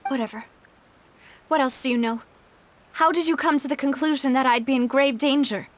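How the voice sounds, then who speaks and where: A woman's voice: high-pitched, a little fast, natural voice. A woman playing a proud, determined young noblewoman in a video game, with pointed, polished, theatrical phrasing. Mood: indignant.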